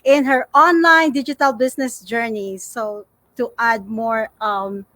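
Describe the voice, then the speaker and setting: A woman's voice: on the high side, fairly even intonation, unhurried, sharp tone, no room sound. A woman from the Philippines with a Filipino accent, presenting as co-host of a casual livestream.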